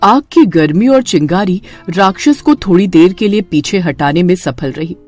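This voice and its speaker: A woman's voice: fairly low pitch, animated, normal speed, a little mellow, soft background music. A woman narrator reading a scripted horror story in a dramatic storytelling manner.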